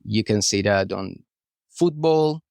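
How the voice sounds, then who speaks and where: A man's voice: on the low side, animated, takes it slowly, gravelly, darkish tone, no echo. A middle-aged Argentine man, a guest artist, chatting loosely in an interview, restarting phrases and saying "you know" often. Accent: Argentine Spanish. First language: Spanish. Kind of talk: casual interview chat.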